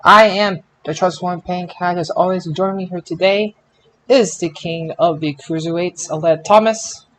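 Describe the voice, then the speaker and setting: A man hosting a casual panel podcast about wrestling results, speaking in an emphatic, excitable way. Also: sits high, normal speed, slight background noise, poor audio. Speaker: podcast host.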